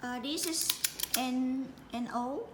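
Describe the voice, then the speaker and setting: Woman doing a step by step install demo, stop and start phrasing, roomy echo, clear noise behind her. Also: high voice. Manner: halting, piecemeal phrasing with repetition. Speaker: product support presenter. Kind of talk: hands-on product installation tutorial. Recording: echoey room with clear background noise.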